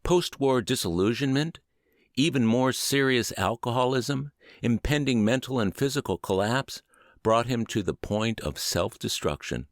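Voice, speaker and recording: A middle-aged man reading aloud as scripted audiobook narration, in an earnest, measured and expressive manner. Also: mid-range voice, dry recording, clean studio sound.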